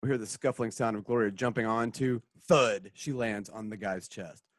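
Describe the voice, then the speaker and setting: A woman's voice: very deep voice, normal speed, no echo, studio quality. A woman narrating the stage directions of an audio drama, reading scripted action and sound cues.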